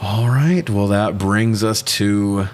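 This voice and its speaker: A man's voice: on the low side, takes it slowly, a little mellow, slight background noise. A man co-hosting a podcast, chatting casually about movies in a blunt, sweary way. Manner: profane, blunt. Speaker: podcast co-host. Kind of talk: casual movie review chat.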